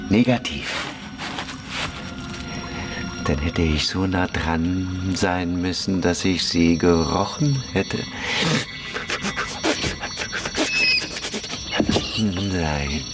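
A man playing a simple, remorseless prisoner in a German radio play, speaking in a deadpan, halting way. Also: low-pitched, over music. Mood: flat, matter-of-fact. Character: simple, matter-of-fact male prisoner, remorseless village bomber.

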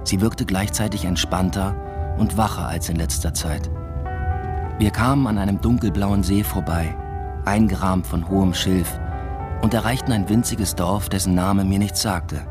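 Brooding dad telling his story in a radio play, really low quiet introspective voice, music under it. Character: brooding academic father narrating his own story. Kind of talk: radio drama first-person narration. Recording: music bed behind the voice.

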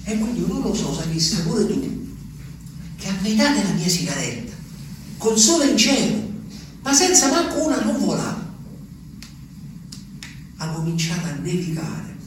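A man's voice: high-pitched, very animated, moderate pace, long pauses. An actor playing an ageing, lonely peasant man, rambling and repeating himself in a theatrical monologue. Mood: agitated wonder. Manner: rambling, repetitive, swings from hushed to exclaiming. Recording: echoey, distant stage recording, faint noise.

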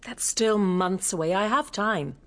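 An actress playing a worldly, frank bohemian singer in a radio drama, with a British accent and a wry, direct manner. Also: female voice, medium pitch, a little slow, lightly acted. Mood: brisk, persuasive.

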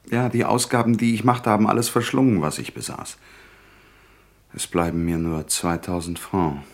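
An actor playing a grave, self-sacrificing bridegroom in a radio drama, worried and measured in his delivery. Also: low voice, exaggerated voice. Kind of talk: German radio drama acting.

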